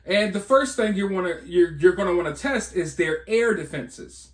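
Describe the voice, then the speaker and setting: A man's voice: high-pitched, animated, normal speed. An American man giving informal, speculative news commentary in a conversational, rhetorical way, often checking in with his listeners. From United States. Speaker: online video commentator and channel host. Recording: roomy, echoing voice recording.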